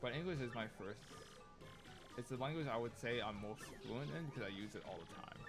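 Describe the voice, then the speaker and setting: A man's voice: medium pitch, expressive, a little fast. A man whose first language is Cantonese, talking casually while playing a game. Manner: chatty, thinking out loud. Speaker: gaming streamer. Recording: game music clearly behind voice. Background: video game music.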